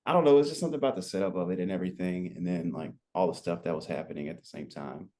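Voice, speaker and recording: A man in his twenties telling his story as a podcast guest, relaxed and rambling, with lots of fillers. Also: deep voice, racing. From United States. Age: 20s. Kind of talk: casual interview chat.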